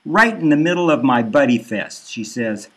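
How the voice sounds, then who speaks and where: A man reading his own dark satirical novel as a first-person audiobook narration. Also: mid-range voice, expressive, moderate pace, breathy edge.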